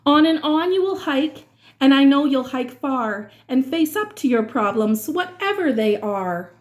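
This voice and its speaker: A woman reading rhyming verse aloud for children in an expressive, dramatic way, drawing out certain words. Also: high voice, a little slow. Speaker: children's read-aloud reader.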